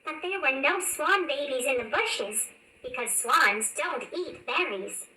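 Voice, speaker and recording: A woman reading a children's storybook aloud in an expressive, animated storytelling manner. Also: high-pitched, measured pace. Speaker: storybook narrator. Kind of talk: scripted children's read-along narration. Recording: roomy, distant, faint background noise.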